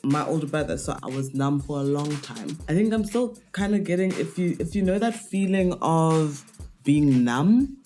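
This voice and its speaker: A woman's voice: low-pitched, animated, moderate pace, dark tone, faint music behind. A woman in her twenties, raised in Botswana, speaking as a podcast guest in a reflective, candid way with casual fillers. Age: 20s.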